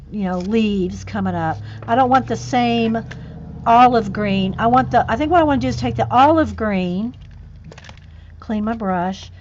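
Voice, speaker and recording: A woman hosting a casual painting livestream, chatting with viewers and muttering soft asides while she works at a table. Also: on the high side, muffled recording, a little hiss or hum. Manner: chatty, reads and answers viewer comments. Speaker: art livestream host. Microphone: overhead camera on hands and page.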